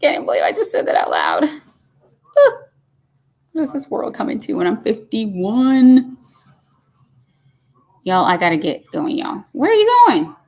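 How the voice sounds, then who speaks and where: A woman's voice: mid-range voice, wildly swooping pitch, measured pace, creaky, pauses often, a little mellow. Chatty fifty-year-old woman. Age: 50s. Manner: chatty and folksy, says y'all. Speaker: live stream host. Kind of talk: casual chat reading live comments.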